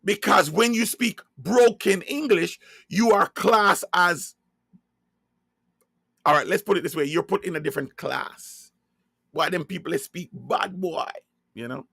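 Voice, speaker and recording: A man from Jamaica with a Jamaican accent, preaching in a slow sermon-style monologue and hammering his points home by repeating and spelling out key words. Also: sits high, creaky, a bit bright, breathy edge, no room sound, clean studio sound.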